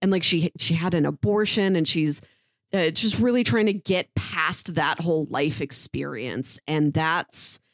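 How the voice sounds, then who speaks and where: A woman's voice: sits low, unhurried, no room sound. A woman talking casually and thoughtfully as a podcast co-host, reviewing a story in conversation.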